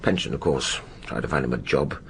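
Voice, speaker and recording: An English actor in a radio drama playing a brusque older spy chief, clipped, gruff and commanding. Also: male voice, rumbling low, a little fast, fairly bright tone, a little hiss or hum, exaggerated voice. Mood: curt, dismissive.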